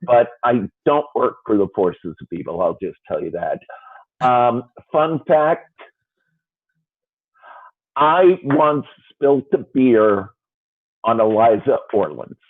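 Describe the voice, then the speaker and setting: A man's voice: medium pitch, animated, slow, long pauses, no room sound. A man in his fifties, an attorney, introducing himself with wry, self-deprecating humor. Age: 50s. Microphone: video call. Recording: tinny phone-like line.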